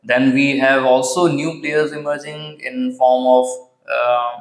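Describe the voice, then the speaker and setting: A man with an Indian accent speaks as a guest in a conversational interview. His voice sits at a medium pitch. The recording is noticeably roomy, with clear echo around the words. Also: flat delivery, unhurried. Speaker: podcast guest, car designer. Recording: roomy, echoing sound.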